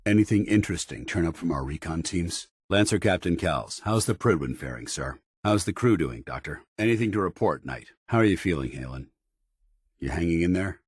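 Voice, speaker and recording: An actor playing a stern, disciplined middle-aged soldier in power armor, speaking formal, clipped military lines for a video game. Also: male voice, sits low, breathy edge, dry recording, studio quality. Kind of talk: scripted video game dialogue.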